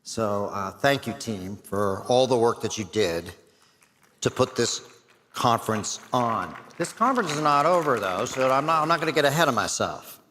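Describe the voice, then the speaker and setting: A middle-aged man, hoarse and losing his voice, giving host remarks and thanks at a conference with a self-deprecating, filler-heavy manner. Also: mid-range voice, expressive, normal speed, sharp tone, slight background noise. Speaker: executive director. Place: large conference hall. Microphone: stage mic. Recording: long hall reverb.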